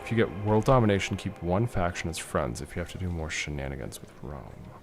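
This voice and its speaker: A man casually talking through a strategy game as he plays, thinking aloud and answering viewer questions in a relaxed way. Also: sits low, fairly quick, background noise. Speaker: streamer playing a strategy game. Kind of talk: casual gameplay commentary reading chat.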